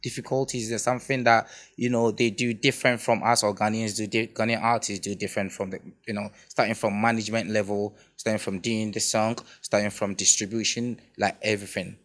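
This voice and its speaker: A man's voice: on the low side, little pitch movement, fairly quick, hardly any pauses, fairly bright tone. A man hosting an interview podcast, asking long questions with many restarts and fillers. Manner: hesitant, repeats himself, many fillers. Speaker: podcast host.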